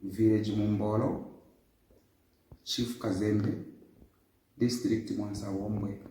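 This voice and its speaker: A man in his fifties answers interview questions in a measured, formal way, with a lowish, very dark and mellow voice. He is well away from the microphone, so the voice echoes around a hard room. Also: little pitch movement, slight background noise. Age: 50s. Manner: measured, formal, lists dates and places. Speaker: interview guest. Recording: echoey, distant room sound.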